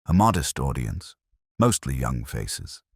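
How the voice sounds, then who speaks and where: Very deep, husky male storyteller. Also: big pitch swings, unhurried, no room sound, studio quality. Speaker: narrator of a detective story. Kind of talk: scripted first-person audiobook narration.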